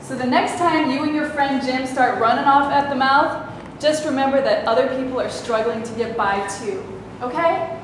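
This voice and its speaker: A woman's voice: high-pitched, normal speed, lightly acted. A woman playing a haughty, tactless bit-part actress in a staged play reading, snide and brash. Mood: snide, taunting. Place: indoor room, live staged reading. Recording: distant mic, echoey noisy room.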